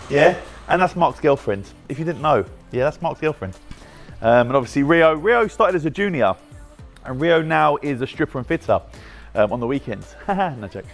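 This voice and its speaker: A man's voice: on the high side, expressive, moderate pace, faint music behind. A British man in his thirties, hosting a casual, jokey walkaround of his car wrap workshop and chatting with his staff as he goes. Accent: British English. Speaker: car wrap shop owner and host. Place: large bright indoor car workshop.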